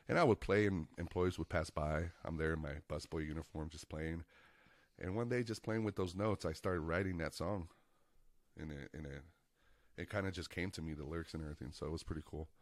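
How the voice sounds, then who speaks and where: A man co-hosting a casual podcast, stuffy from allergies, telling stories in a relaxed, rambling way with lots of fillers. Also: extremely low pitch, brisk, no room sound. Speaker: podcast co-host and piano player. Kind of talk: casual chat.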